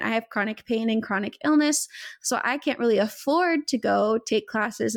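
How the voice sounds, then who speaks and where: A woman's voice: a little high, no echo, studio quality. A woman hosting a podcast interview, chatty and laughing often as she talks. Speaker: podcast host. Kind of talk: casual interview chat.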